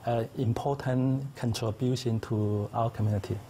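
A middle-aged man whose first language is Chinese, speaking as a guest in a TV studio interview with earnest, simple phrasing and thoughtful pauses. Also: mid-range voice, fairly even intonation, mellow, a little hiss or hum. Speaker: interview guest, tai chi teacher. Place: local TV studio set.

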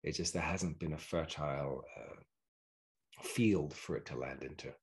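A middle-aged man on a video call, explaining reflectively as a guest teacher and often pausing to search for words. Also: very deep voice. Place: carpeted room at home. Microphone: video call, sitting back from the computer.

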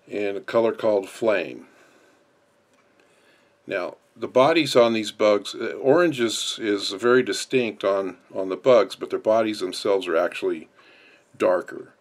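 A man with a low-pitched voice talks through a practical task as an instructor. He explains each step as he goes, in unscripted tutorial narration. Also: a little slow, long pauses.

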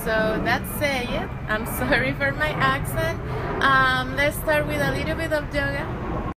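High-voiced Venezuelan woman teaching yoga. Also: steady tone, very noisy. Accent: Venezuelan Spanish. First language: Spanish. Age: young adult. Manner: friendly, halting, with fillers. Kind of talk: casual selfie-video yoga instruction. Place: outdoors by a backyard pool. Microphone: phone at arm's length.

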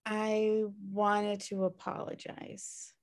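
A woman's voice: medium pitch, monotone, slow pace, gravelly. A woman playing a messy, guilt-ridden, wry sister in a sitcom table read, rambling hesitantly. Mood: apologetic, wry. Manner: rambling, hesitant, self-deprecating. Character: messy, guilt-ridden, wry younger-at-heart woman pleading for her brother. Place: home room on a video call.

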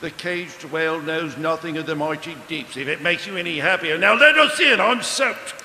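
An actor playing a grumpy, impatient brother of a secret society, blunt and colloquial in a comic live radio play. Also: male voice, fairly high pitch, lively intonation, normal speed, bright, forceful tone, exaggerated voice. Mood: irritated, impatient. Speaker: stage radio-drama actor. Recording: echoey stage hall, hissy noise.

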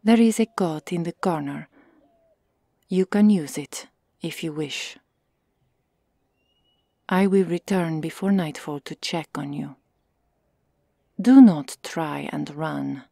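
A woman playing a stoic, stern Amazon warrior in an ASMR roleplay, hushed and curt, often whispering. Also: sits low, takes it slowly, gravelly, pauses often, no echo, studio quality, heavily acted. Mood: stern, guarded. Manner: curt, formal, restrained.

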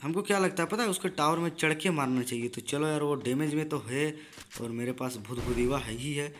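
A hoarse young man gives rowdy, joking, trash-talking commentary over his own gameplay, recorded without a proper mic. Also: fairly high pitch, a little fast, background noise. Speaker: gaming YouTuber. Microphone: built-in device mic, no external mic.

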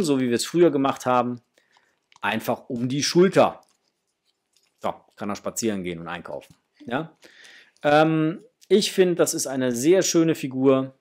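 A middle-aged man talks casually to his viewers as the host of an unboxing video. His voice sits at a medium pitch. He sounds enthusiastic and generous with his approval, speaking from a small room at home. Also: darkish tone. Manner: enthusiastic, gushing. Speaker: host of a toy collecting channel.

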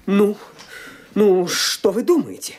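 A man's voice: sits high, sing-song, slow, a little mellow, slight background noise, heavily acted. An actor playing an anxious young male heir in a radio play, who talks nervously and flares into anger. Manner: agitated, nervous, breaks into anger. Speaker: heir questioned by his lawyer. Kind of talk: Soviet-era radio play acting.

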